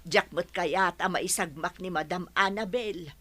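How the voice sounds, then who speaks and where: A woman's voice: on the low side, measured pace, pushed and bright. A woman voicing a concerned, helpful household maid in an audio comedy drama.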